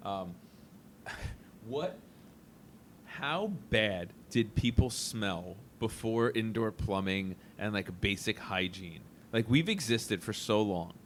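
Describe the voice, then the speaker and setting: A man's voice: on the low side, a bit bright, a little hiss or hum. A man hosting a casual podcast, musing aloud with playful, jokey asides while chatting with students. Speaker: podcast host.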